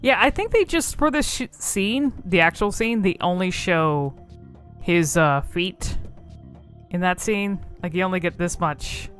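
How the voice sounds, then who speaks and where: A woman's voice: lowish voice, lively intonation, measured pace, a bit bright, faint music behind. A woman giving casual game commentary, rambling and thinking aloud as she explores cutscenes.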